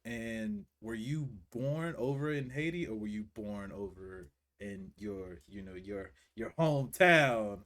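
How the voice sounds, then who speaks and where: A low-pitched man hosts a casual interview chat. He stays laid-back, asks his questions plainly and mostly answers with short replies. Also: lively intonation, slow, hardly any pauses, a bit bright. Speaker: host.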